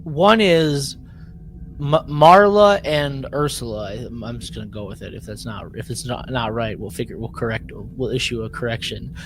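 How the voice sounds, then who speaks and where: A man co-hosting a podcast in a casual recap style, haltingly repeating and rewording his phrases. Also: medium pitch, a little hiss or hum. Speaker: podcast co-host.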